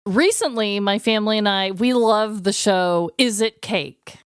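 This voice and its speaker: A woman's voice: mid-range voice, unhurried, clean tone, no echo, studio quality. A woman co-hosting a podcast in casual comedic chat, enthusiastic and playful. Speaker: podcast co-host.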